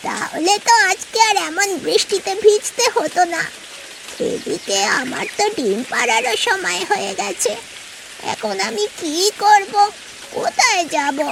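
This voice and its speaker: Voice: extremely high pitch, vocal fry, noisy background, full character voice. A performer voicing a sly, lazy female crow in a cartoon story, plaintive and wheedling, with caws. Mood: regretful, worried. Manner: plaintive, wheedling, breaks into cawing.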